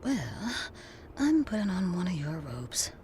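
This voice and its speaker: A woman's voice: on the low side, very animated, measured pace, mellow, a little hiss or hum. A woman with an American accent playing a worried, confrontational lover in an audio drama, speaking in an emphatic, plain-spoken way. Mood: casual, teasing. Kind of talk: naturalistic audio drama acting.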